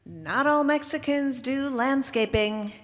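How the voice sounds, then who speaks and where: Woman, higher pitch, scripted recitation, short statements, long hall reverb. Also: animated, takes it slowly, a bit bright, a little hiss or hum. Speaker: reader of short personal statements.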